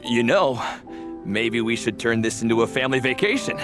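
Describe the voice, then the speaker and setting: An actor playing a middle-aged father in a video game, firm but indulgent, with measured, gentle authority. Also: medium pitch, lively intonation, lightly acted. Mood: firm, kindly. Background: loud background music.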